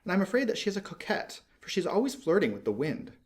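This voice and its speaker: A man's voice: high-pitched, big pitch swings, fast talker, pitched above the performer's own voice. A man voicing a small, vain, fussy swallow in a storybook, blunt and sure of himself. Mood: brisk, self-assured. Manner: blunt, self-assured, fussy. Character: small male swallow, vain, brisk, fickle but polite. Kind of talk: storybook character voice.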